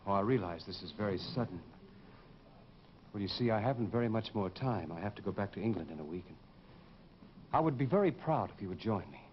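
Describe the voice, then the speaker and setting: An actor playing a courtly, insistent suitor with a hidden vengeful streak in an old radio horror drama. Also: male voice, fairly low pitch, animated, brisk, long pauses, lightly acted. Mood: pleading. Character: suave, intense English suitor hiding a vengeful nature. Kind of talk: 1940s radio horror acting. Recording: dull, noisy old radio broadcast.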